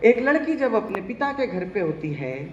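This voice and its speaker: A man's voice: high voice, big pitch swings, moderate pace, muffled recording, slight background noise. Male comic poet working a live crowd, ribbing people by name, echoey venue. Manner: teasing, addresses audience directly. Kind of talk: live stand-up comic poetry. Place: live stage before an audience.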